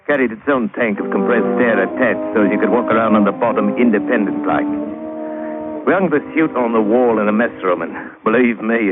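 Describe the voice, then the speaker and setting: A man's voice: on the low side, natural voice. An actor playing a weathered sailor telling his sea tale in colloquial dialect, in old radio drama style. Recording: tinny old radio recording with music.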